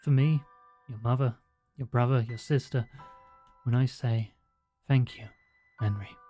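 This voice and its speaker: A man voicing a gentle male caregiver who speaks softly and tenderly. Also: mid-range voice, fairly even intonation, measured pace, soft background music, lightly acted. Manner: soft, tender.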